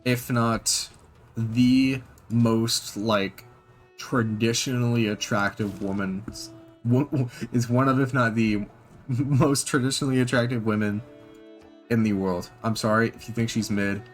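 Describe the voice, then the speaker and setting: A man's voice: medium pitch, fairly even intonation. Guy reacting to a clip, lots of ums and restarts, blunt and swears, medium voice, light music behind. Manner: halting, full of ums and restarts, blunt and profane. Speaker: reaction channel host. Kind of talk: casual reaction commentary.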